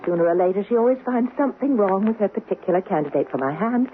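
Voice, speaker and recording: A woman's voice: medium pitch, animated, quick pace, close to an everyday voice. A genteel young society lady played by a woman in an old radio play. She is spirited and theatrical, with intonation that swings widely. The sound is narrow and vintage, with light hiss. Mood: reassuring. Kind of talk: old-time radio drama acting.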